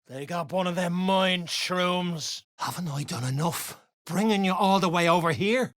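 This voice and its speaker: An actor playing a gruff, coarse, bullying soldier of an underground dwarf race in a video game. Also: male voice, high-pitched, a little slow, a bit bright, dry recording, clean studio sound. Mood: gruff, sardonic. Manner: coarse, barking, colloquial. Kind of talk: video game character voice lines.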